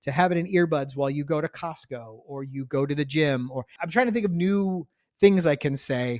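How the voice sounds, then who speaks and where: Jokey, higher-voiced man podcasting, close and dry. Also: a little fast, dry recording. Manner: jokey, rambling, teasing. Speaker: podcast co-host. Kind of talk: casual banter with co-host spouse. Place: home garage studio.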